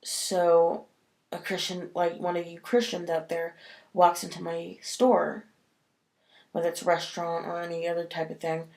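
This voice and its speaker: Lady with a cold vlogging her opinion, kinda low voice, lots of likes and ums. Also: monotone, normal speed, creaky, a little mellow. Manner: rambling, lots of fillers like "like" and "um". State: sniffly with a cold. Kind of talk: casual opinion vlog.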